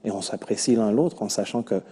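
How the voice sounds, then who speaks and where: A man with a Congolese accent answering interview questions about himself in an earnest, measured way, with hesitations. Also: fairly low pitch, dark tone, a little hiss or hum. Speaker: musician being interviewed. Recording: long reverberant hall-like echo.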